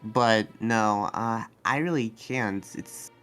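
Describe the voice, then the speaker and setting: A man playing an earnest, awkward demon slayer and researcher in an improvised anime game roleplay, speaking haltingly. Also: on the low side, fairly bright tone, clear, resonant tone, faint music behind. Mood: earnest, sheepish.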